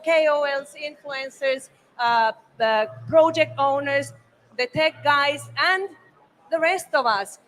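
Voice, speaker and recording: A woman with a high voice speaks on a conference panel. She talks in an earnest, measured way and leans on fillers between thoughts. A faint hiss sits under her voice. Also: a bit bright, low-quality recording. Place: conference stage.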